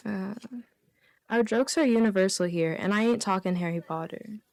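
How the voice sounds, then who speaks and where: A woman's voice: mid-range voice, normal speed, vocal fry, no room sound. A woman chatting casually and informally, a bit hesitant, as a guest on a radio comedy show. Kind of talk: casual chat and joke reading. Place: small radio studio.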